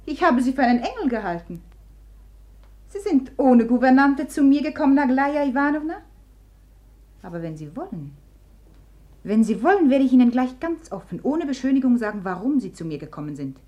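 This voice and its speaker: A proud, wounded woman in a German radio play. Her fairly high voice swings from hushed, halting repetition to imperious command. She is volatile and taunts her rival theatrically. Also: very animated. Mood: scornful, bitter. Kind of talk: German radio drama acting.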